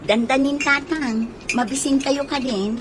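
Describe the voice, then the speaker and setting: A woman playing a fretful adult daughter in an Ilocano radio comedy drama, speaking in an animated way. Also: high voice, measured pace. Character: fretful adult daughter, a gossip-troubled nurse. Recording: radio drama with clear background noise.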